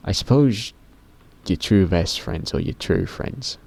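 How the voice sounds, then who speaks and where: A teenage boy with a very deep voice, rambling casually to camera in a vlog, often stopping himself mid-sentence and swearing offhandedly. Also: animated, slow, gravelly, darkish tone, husky. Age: teens. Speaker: YouTuber.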